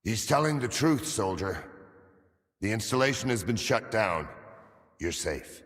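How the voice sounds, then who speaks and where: Stern low-voiced man, reverberant. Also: sing-song, measured pace, a bit bright. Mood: firm. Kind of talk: video game cutscene acting. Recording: long hall reverb.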